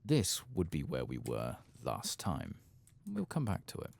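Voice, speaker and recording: A man doing a soft-spoken ASMR game playthrough, murmuring musing asides and sometimes dropping toward a whisper. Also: extremely low pitch, huge pitch swings, a little slow, gravelly. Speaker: gaming ASMR host.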